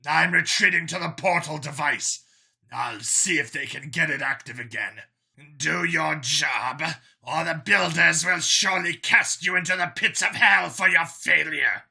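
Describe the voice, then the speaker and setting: Growling, gravelly dragon warlord. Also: male voice, a little high, bright, forceful tone, full character voice. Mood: menacing contempt. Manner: slow, commanding, threatening. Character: menacing male dragon commander barking threats at underlings. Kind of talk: audiobook character voice.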